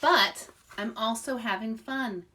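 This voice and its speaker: A woman's voice: a little high, slow pace, pushed and bright, slight background noise. A woman voicing a grumbly but loyal young male animal in a storybook, with an insistent way of talking. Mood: kindly.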